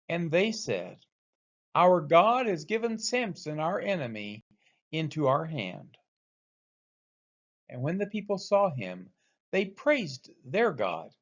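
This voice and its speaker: A man reading scripture aloud as expressive narration, with deliberate, dramatic emphasis on quoted speech. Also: on the high side, dull audio. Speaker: scripture reader.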